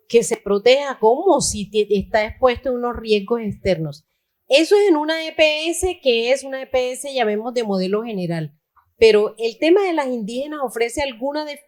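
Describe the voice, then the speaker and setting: A woman moderating a conference panel, posing questions in a thoughtful, halting way. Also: a little high, a little mellow. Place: conference hall.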